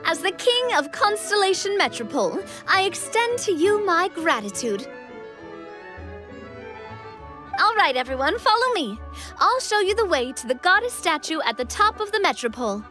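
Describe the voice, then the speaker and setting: A confident young lady ruler speaks in a high, animated voice. The delivery is poised and theatrical, gracious as fairytale royalty, with video game music underneath. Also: moderate pace, heavily acted. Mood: pleased, gracious. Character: confident, gracious young female leader playing a fairytale king. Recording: music behind the voice.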